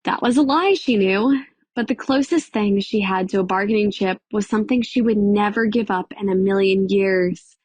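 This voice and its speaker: A woman narrating a dramatic audiobook in a measured, expressive storytelling manner. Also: mid-range voice, no echo. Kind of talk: scripted dramatic fiction narration.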